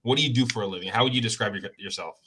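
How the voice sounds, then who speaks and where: A male host opens the interview playfully, looping through restarts and asides before landing his questions. His voice has a bright, forward edge at a middling pitch. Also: rapid-fire, slightly rough. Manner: jokey, rambling, many restarts. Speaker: podcast host. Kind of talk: casual interview chat.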